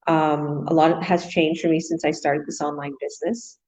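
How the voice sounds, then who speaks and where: Low-voiced Canadian woman gushing on a stream. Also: little pitch movement, moderate pace, a little mellow. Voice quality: laughs often, gushing. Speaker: host. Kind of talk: casual live stream chat. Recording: dull lo-fi stream audio.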